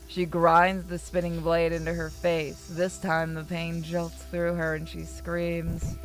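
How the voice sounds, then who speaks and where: A woman's voice: on the low side, gravelly. A woman reading a story aloud expressively as a streamer, with casual asides. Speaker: streamer reading a visual novel aloud to chat.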